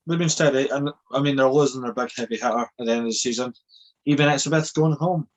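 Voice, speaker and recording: A man's voice: medium pitch, fast, rough recording. Young guy cohosting a podcast, laid back, swears a bit, medium voice. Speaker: podcast co-host. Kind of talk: casual podcast chat.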